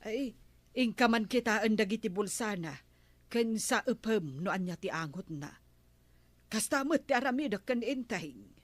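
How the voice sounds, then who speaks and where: An actress with an Ilocano Filipino accent playing a bossy, inquisitive wife of a village captain in a radio comedy drama. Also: female voice, mid-range voice, animated, normal speed, no room sound, lightly acted. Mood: suspicious.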